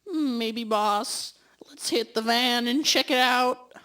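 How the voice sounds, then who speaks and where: A woman playing a loyal, slow-witted detective's sidekick in a radio drama, with hesitant, drawn-out delivery. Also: on the high side, bright, forceful tone, clear, resonant tone. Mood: pondering. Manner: hesitant, drawn-out, humming before answering. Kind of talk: amateur school radio drama acting.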